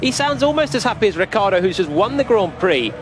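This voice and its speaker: A man giving relaxed, analytical co-commentary on a race. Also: sits high, quick pace, over music. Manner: conversational, thoughtful asides. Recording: engine noise in background.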